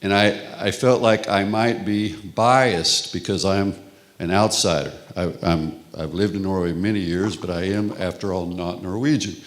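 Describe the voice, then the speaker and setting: Deep-voiced Texan man lecturing. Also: unhurried. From Texas. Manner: relaxed, conversational, with frequent restarts. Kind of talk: conference lecture. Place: conference hall.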